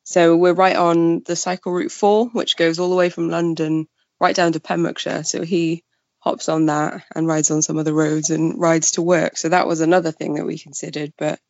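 A young woman from Wales with a creaky voice, chatting casually as an interview guest. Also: low-pitched, flat delivery, moderate pace, a little mellow, no room sound, highs cut off. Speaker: podcast guest, freelance journalist.

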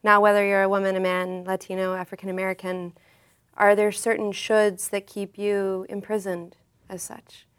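A woman's voice: medium pitch, little pitch movement, normal speed, smooth. A woman author and artist, a podcast guest speaking in a reflective, earnest way. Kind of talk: podcast interview.

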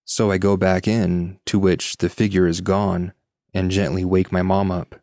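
A man's voice: deep voice, level tone, unhurried, darkish tone, no echo, studio quality. A man co-hosting a podcast, reading listener stories and chatting casually. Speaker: podcast co-host.

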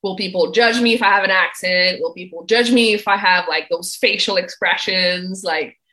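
A woman's voice: on the low side, moderate pace, sharp tone, poor audio. A woman co-hosting a business livestream in casual chat, bubbly and laughing often, over a video call. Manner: bubbly, laughs often, says "like" and "really" a lot.

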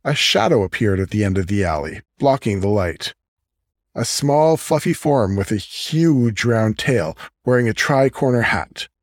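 A man narrates a piece of fiction from a script. His voice sits on the low side. The recording is very dry, with no room around it. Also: animated, a little slow, dry recording. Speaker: audiobook narrator.

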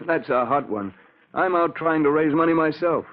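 A man's voice: fairly high pitch, unhurried, lightly acted. A man in a 1940s radio drama playing a hard-up honest locksmith, earnest, plain-spoken and hesitant. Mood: frustrated, blunt. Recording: tinny, band-limited 1940s radio recording.

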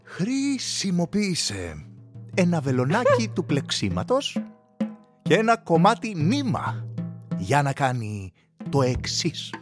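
A man's voice: fairly high pitch, huge pitch swings, pauses often. A man reading solutions aloud to an audience, with wry, exasperated asides. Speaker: reader of a play's solutions. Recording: music playing behind.

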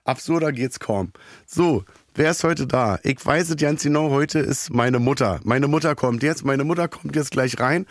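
A hoarse man, hosting a podcast in a rambling, self-mocking comic monologue full of halting fillers. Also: mid-range voice, dry recording, studio quality. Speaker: podcast host.

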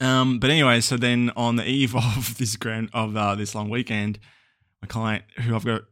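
A man's voice: mid-range voice, flat delivery, moderate pace, a bit bright, no room sound, clean studio sound. A young Australian man hosting a casual solo podcast, rambling and chatty with lots of ums and small laughs. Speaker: podcast host.

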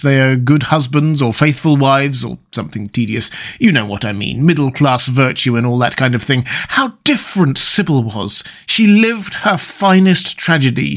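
A man reading a classic novel aloud, voicing a callous, vain young gentleman in an affected, theatrical manner. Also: fairly high pitch, pitched above the performer's own voice.

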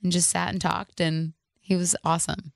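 Woman, 30s, from the Midwest, country singer guest, upbeat and laughing, lower pitch, dry studio sound. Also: level tone, normal speed, creaky, no echo. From American Midwest. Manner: bubbly, laughs often, upbeat. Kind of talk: casual interview chat. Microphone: studio microphone.

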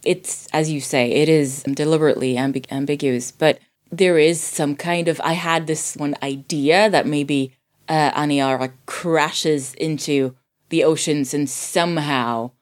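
A woman from Sweden with a low-pitched voice talks casually about film. She is a native Swedish speaker and thinks aloud, often stopping to rephrase as she goes. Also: steady tone, measured pace. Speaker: podcast guest reviewing a film.

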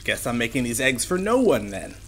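An actor playing a caring parent of a teenager, gently teasing, in naturalistic audio drama dialogue. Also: male voice, mid-range voice, very animated, brisk, lightly acted. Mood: wry, caring.

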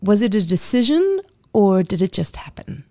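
A woman's voice: medium pitch, sing-song, moderate pace, mellow, no room sound, clean studio sound. A woman hosting a podcast who reads a scripted intro and asks encouraging interview questions. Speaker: podcast host.

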